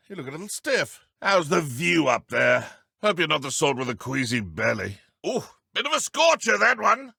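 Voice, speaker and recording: An actor voicing a haughty, theatrical dark wizard villain in a video game, with pompous, archaic, taunting diction. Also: male voice, sits high, vocal fry, husky, no room sound, studio quality, exaggerated voice. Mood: mocking. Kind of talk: video game combat voice clips.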